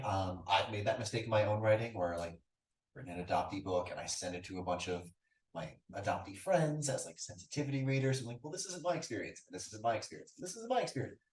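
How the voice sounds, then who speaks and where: A man's voice: lowish voice, a little mellow. A man on a writers' panel answers in a casual, chatty way, full of "like". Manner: casual, chatty, many "like" fillers. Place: event hall. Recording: roomy echo, distant mic.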